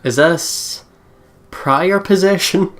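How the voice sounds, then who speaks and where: A woman's voice: on the low side, drawn-out words, a crowd in the background. A woman giving casual, giggly reactions as a let's play host. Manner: giggly, short interjections.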